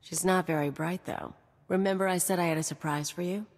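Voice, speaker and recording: A woman's voice: lowish voice, steady tone, fairly quick, natural voice. Woman acting a brash, sardonic mercenary, echoing reverb. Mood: cocky. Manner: sardonic, cocky, slangy. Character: brash, scheming, vindictive female mercenary companion. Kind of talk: video game voice acting. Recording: long reverb, music behind.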